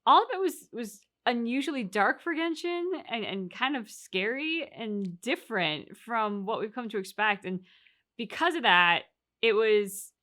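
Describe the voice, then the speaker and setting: A woman's voice: fairly high pitch, animated, a little slow, sharp tone. A woman chatting casually as a reaction co-host, reflecting and explaining her points at length with examples. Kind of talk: casual discussion of a video game story.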